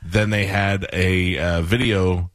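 A man with a very low voice hosts a radio show from a dead, dry studio, recounting events in a loose, rambling way. He drifts through fillers and asides in a matter-of-fact tone. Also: fairly even intonation, slow, dry recording. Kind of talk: casual radio chat.

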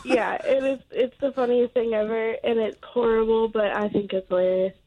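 A woman's voice: a little high, steady tone, normal speed, mellow, slight background noise. A woman calling in to a radio show over the phone, casually sharing an anecdote with the hosts. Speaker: phone-in caller, mother. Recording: tinny narrow-band phone line.

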